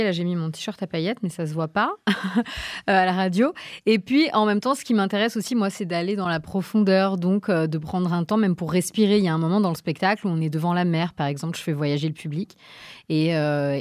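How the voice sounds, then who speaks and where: Female radio guest, French accent, lowish voice in a dry studio, conversational and explanatory with thoughtful pauses. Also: a little mellow, no echo. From French-Italian. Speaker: guest, stage performer and singer.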